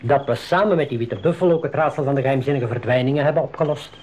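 A man acting as a calm, experienced safari guide who instructs and reassures his group in a radio drama. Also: medium pitch, fast talker, slight background noise, natural voice. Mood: explaining.

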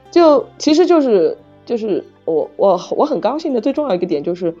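A middle-aged woman author chatting casually about writing, halting and repeating phrases as she searches for words. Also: fairly high pitch, sing-song, warm tone. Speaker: novel author. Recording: dull lo-fi audio, music underneath. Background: background music.

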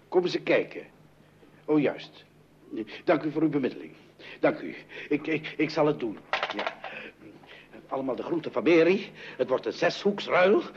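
A man's voice: fairly high pitch, lively intonation, measured pace, long pauses. An actor playing a fussy, flustered middle-aged father in an old-fashioned radio comedy, with a blustering, repetitive way of talking. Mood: nervous, flustered. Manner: blustering, exclamatory, repeating himself. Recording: dull, noisy old radio recording.

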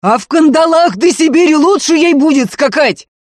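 A narrator playing a hot-tempered, rough young peasant man in a dramatic audiobook. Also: medium pitch, normal speed, no room sound, clean studio sound, close to an everyday voice. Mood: furious. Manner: yells and hisses through gritted teeth.

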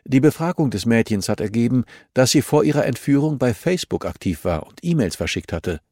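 A man's voice: medium pitch, animated, a little fast, darkish tone, no room sound, clean studio sound, voice pushed up, lightly acted. A man voicing a businesslike female investigator in an audiobook, firm, wry and politely insistent. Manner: matter-of-fact, politely insistent.

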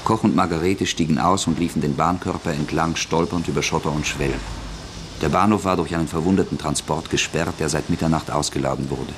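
Deep-voiced male radio-play narrator. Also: fairly even intonation, fairly quick, slight background noise.

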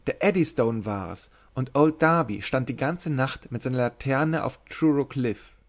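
A man voicing a lively, teasing young tavern woman in a German audiobook, raising his voice to play her. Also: mid-range voice, measured pace, voice pushed up, close to an everyday voice. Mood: bold. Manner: bright, bold, half-singing. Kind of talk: audiobook reading.

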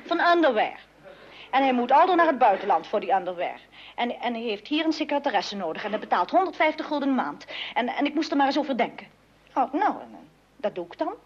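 A dull, old radio recording of an eager, easily exasperated daughter in a family comedy. Her high voice swoops with emphasis as she insists on having her say. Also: fast, breathy edge. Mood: exasperated, earnest. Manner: animated, emphatic, enumerating point by point. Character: eager, exasperated grown daughter of a chaotic household. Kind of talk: old-fashioned Dutch radio comedy acting.

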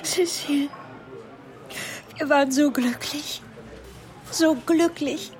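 An actress playing a jilted young mistress, needy and plaintive, in a radio drama. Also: female voice, sits high, steady tone, slow pace. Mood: distraught, tearful.

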